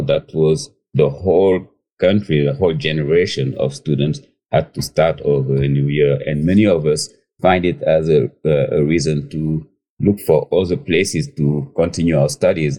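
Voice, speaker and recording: Very deep, mellow man. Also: moderate pace. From Senegal. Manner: hesitant, many fillers and restarts. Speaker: guest chef. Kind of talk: casual interview storytelling.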